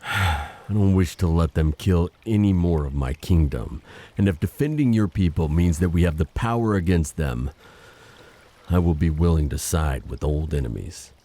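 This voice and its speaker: Casting: male actor for noble prince of the sea, extremely low dark voice, grave and formal, audio fantasy drama. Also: moderate pace. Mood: earnest, dutiful. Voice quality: very deep, dark. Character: solemn, dutiful undersea prince guarding his hunted people.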